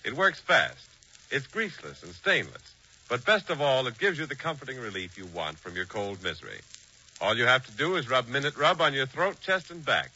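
A man's voice: medium pitch, sing-song, normal speed, sharp tone, slightly rough. A man announcing in a polished, emphatic 1940s radio style. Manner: polished, emphatic sales delivery. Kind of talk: 1940s radio advertising and scripted narration. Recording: dull, faintly noisy 1940s radio recording.

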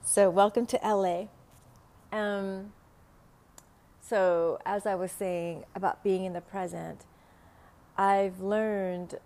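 A middle-aged woman with a Vietnamese accent, whose first language is Vietnamese, hosting a spiritual podcast at home in a slow, halting way with many fillers and soft laughs. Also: medium pitch, vocal fry. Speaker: podcast host, spiritual teacher. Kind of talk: casual spoken podcast monologue. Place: home room in a city, window open, passing traffic. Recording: faint traffic noise from open window.

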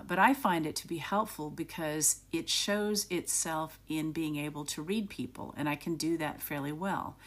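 A middle-aged woman hosting a solo podcast, with a raspy, gravelly voice and a rapid, slightly manic delivery. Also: sits low. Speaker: podcast host.

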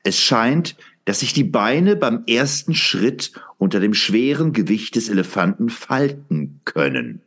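A man's voice: medium pitch, sing-song, unhurried, few pauses, fairly bright tone, husky. A man narrating an audio drama from a script, in a storyteller's descriptive delivery.

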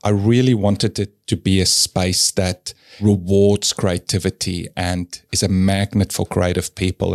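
A man's voice: low-pitched, gravelly, no echo, clean studio sound. A man from South Africa, a native Afrikaans speaker with an Afrikaans South African accent, talking easily and at length as a gallery curator in an interview. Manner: easygoing, explains at length, fills with "yeah". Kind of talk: relaxed conversational interview.

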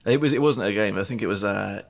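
Fast-talking gravelly man hosting a podcast. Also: lowish voice. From Britain. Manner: chatty, rambling, self-deprecating, with frequent fillers. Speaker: podcast host. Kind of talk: casual chat.

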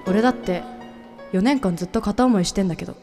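A woman playing a gruff but secretly lovestruck younger male colleague, speaking casually and with understated exasperation in an amateur radio drama. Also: medium pitch, quick pace, over loud music, voice pushed down, natural voice. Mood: earnest.

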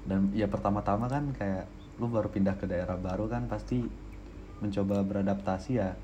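A young man chats on a podcast, relaxed and slangy, stopping and restarting as he tells his stories. His voice sits a little low and sounds dark and mellow, with faint noise underneath. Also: normal speed, lo-fi sound. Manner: laid-back storytelling, frequent restarts and laughs. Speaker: podcast co-host. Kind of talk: casual slangy chat.

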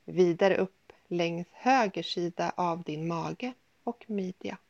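A woman guiding a yoga nidra body scan in a soothing, gentle, unhurried manner with pauses. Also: on the low side, creaky, no echo, dull audio. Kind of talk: guided meditation, body scan.

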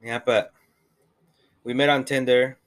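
A man's voice: medium pitch, level tone, measured pace, a bit bright, smooth. A young man co-hosting a casual couple's podcast, rambling with many fillers and the odd swear, with a slight accent. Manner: rambling, lots of "like" and "you know", swears. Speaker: podcast co-host.

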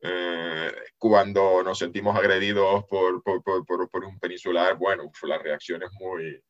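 A man's voice: sits low, flat delivery, unhurried, highs cut off. A man from the Canary Islands with a Canarian accent, a podcast guest chatting casually and repeating words as he tells stories. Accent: Canarian Spanish. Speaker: podcast guest, geopolitics analyst. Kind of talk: casual chat.

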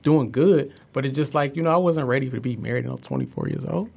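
A man's voice: mid-range voice, quick pace, vocal fry, darkish tone, breathy edge. A man in his thirties talking in a blunt, candid way in a casual podcast chat. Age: 30s.

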